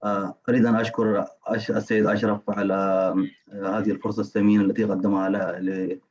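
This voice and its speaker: Fast-talking Sudanese man with a low voice. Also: little pitch movement, a crowd in the background. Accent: Sudanese Arabic. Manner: halting, many fillers and restarts. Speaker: participant. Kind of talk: speech on a video call. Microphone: video call. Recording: dull call audio.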